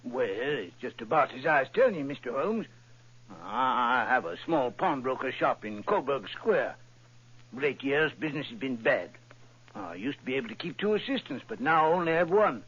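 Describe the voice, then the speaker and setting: A chatty, rambling pawnbroker. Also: male voice, medium pitch, big pitch swings, moderate pace, fairly bright tone, breathy edge. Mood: telling his story. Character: Jabez Wilson, talkative, simple middle-aged pawnbroker. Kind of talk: old-time radio drama. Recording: dull lo-fi vintage radio recording.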